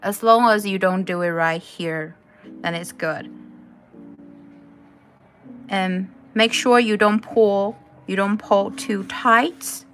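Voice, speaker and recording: A woman's voice: medium pitch, fairly even intonation, slow pace, long pauses, a bit bright, faint music behind. A woman from Taiwan, a native Chinese speaker with a Taiwanese accent, hosting a casual live crochet tutorial with informal step-by-step instructions. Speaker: craft tutorial host.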